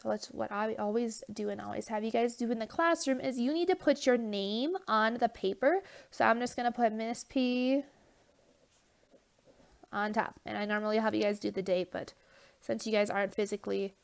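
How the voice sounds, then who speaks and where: A woman's voice: a little high, moderate pace, vocal fry, darkish tone. A young woman teacher with a stuffy nose giving a casual remote lesson, chatty with many fillers and okay check-ins, recorded on a phone.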